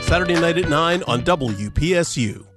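A man hosting a radio music show, promoting it in a folksy, relaxed way. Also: fairly high pitch, normal speed.

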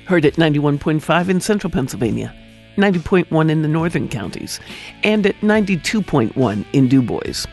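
Male station announcer, slightly high voice. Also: sing-song, a little fast, soft background music.

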